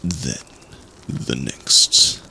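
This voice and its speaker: A man telling a campfire horror story in a hushed, suspenseful manner with long dramatic pauses. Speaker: storyteller in an audio roleplay. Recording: faint background noise.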